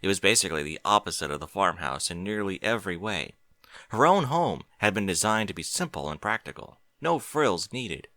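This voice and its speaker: A man narrating a fan fiction story in an expressive, animated reading. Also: low-pitched, fairly quick, no room sound, studio quality. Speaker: audiobook reader.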